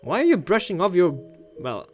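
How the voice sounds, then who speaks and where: Woman doing a lets play, reading a visual novel out loud, music clearly playing behind. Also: on the low side, big pitch swings, normal speed. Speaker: gaming YouTuber. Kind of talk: playthrough commentary and reading a visual novel aloud. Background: game music.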